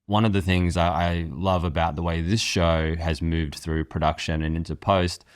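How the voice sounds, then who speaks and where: A man's voice: sits low, fairly even intonation, moderate pace, smooth, no room sound, clean studio sound. A man speaking as an interview guest, reflective and conversational, piecing his thoughts together with some repeated words.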